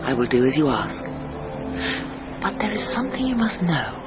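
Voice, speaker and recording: Mermaid: compassionate, solemn, theatrical, slightly muffled beneath loud music. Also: female voice, sits low, big pitch swings, moderate pace. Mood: compassionate, grave. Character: beautiful, compassionate female mermaid, grave rescuer. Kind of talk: audio fairy-tale drama acting.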